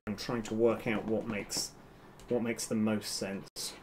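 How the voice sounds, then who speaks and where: A man's voice: fairly low pitch, little pitch movement, a little slow, a little mellow, a little hiss or hum. A man doing casual live-stream commentary as a game developer, thinking aloud in a hesitant, self-correcting way.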